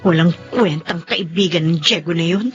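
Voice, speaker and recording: An actress with a Filipino accent playing a caring, protective wife in a radio drama. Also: female voice, on the low side, moderate pace, natural voice. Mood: indignant. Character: caring, protective wife, quick to take his side. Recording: dull audio with music behind.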